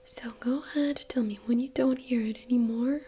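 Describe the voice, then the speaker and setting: A woman speaking in a breathy whisper, gently and reassuringly, as a doctor in an ASMR medical exam role-play. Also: fairly high pitch, fairly even intonation, background noise. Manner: gentle, reassuring, unhurried clinical explaining.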